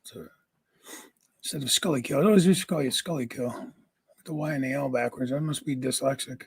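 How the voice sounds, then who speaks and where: A man with a raspy voice hosting a casual livestream from home, greeting viewers by name and chatting. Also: medium pitch, a little fast. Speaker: livestream host.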